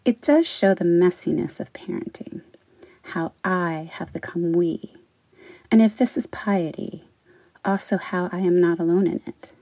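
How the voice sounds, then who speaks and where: Woman, poetry reading, lowish creaky voice, deliberate, articulate. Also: a little mellow, dry recording, low-quality recording. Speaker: poet, writer. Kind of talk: spoken introduction and poetry reading.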